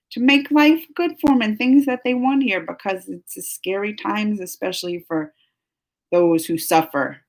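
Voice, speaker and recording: A woman in her thirties hosting a casual live stream, talking in an emotional, rambling way with many restarts and fillers. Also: low-pitched. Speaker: livestream host.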